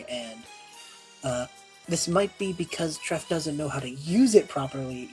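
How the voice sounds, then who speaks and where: Low-pitched woman, improvising casually as a tabletop player, explanatory, faint music underneath. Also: a little mellow, poor audio. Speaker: tabletop role-playing game player. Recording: music playing behind the voice. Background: background music.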